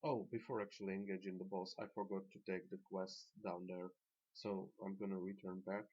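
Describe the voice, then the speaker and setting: A man with a very low, flat voice talks through a game guide, unscripted and correcting himself as he goes. English is not his first language. The recording sounds dull and lo-fi, like a cheap desk mic. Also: a little fast. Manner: unscripted, self-correcting, with fillers. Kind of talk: casual gameplay commentary.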